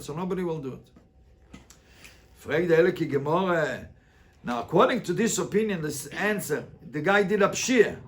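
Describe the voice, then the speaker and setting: A man teaching a Talmud class as a rabbi, reading and translating line by line with emphatic questions and repeated phrases. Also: on the high side. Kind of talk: interactive lesson, reading and translating a text line by line.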